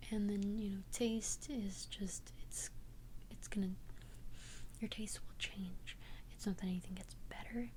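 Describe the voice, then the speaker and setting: A woman whispering in a breathy, gentle, hesitant way, confiding as a friendly vampire best friend in an ASMR roleplay. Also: mid-range voice, a little hiss or hum, low-quality recording. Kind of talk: whispered ASMR roleplay, one-sided conversation. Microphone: close ASMR microphone.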